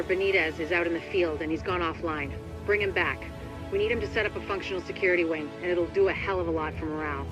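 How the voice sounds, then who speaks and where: A man's voice: high voice, close to an everyday voice. A man acting as a terse, matter-of-fact officer giving a mission briefing in a video game. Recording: loud music under the voice. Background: loud music.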